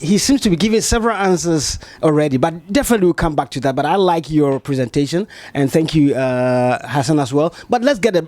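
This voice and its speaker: A man's voice: fairly high pitch, lively intonation, fairly quick, few pauses, a little mellow, no echo. A man hosting a casual interview in a dormitory room, chatty and addressing his guests informally. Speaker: interviewer, host. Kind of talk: casual on-camera student interview.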